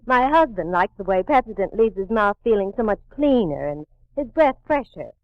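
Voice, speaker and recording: An actress playing a cheerful, satisfied housewife giving a testimonial in a 1940s radio advertisement. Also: female voice, mid-range voice, very animated, normal speed, no room sound. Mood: pleased. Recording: muffled, dry 1940s radio recording.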